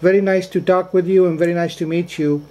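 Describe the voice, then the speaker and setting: A man's voice: high-pitched, fairly even intonation, quick pace, mellow. A man operating an amateur radio indoors, speaking in radio procedure phrases and repeating key words. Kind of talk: ham radio contact, short spoken exchanges. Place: indoors in a cabin.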